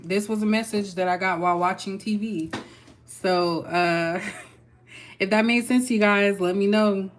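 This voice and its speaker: A woman's voice: mid-range voice, fairly even intonation, unhurried, slight background noise. A young woman with a Texas accent giving a casual, chatty tarot reading and talking loosely to her viewers. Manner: chatty, loose, folksy with y'all. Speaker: tarot reader.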